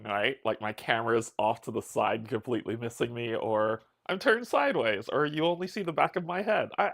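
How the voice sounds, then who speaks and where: A chatty, self-deprecating man hosts a casual stream from his home setup, greeting viewers one by one. His voice has a gravelly, fry-heavy edge and sits in an ordinary middle pitch for a man. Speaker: streamer and host.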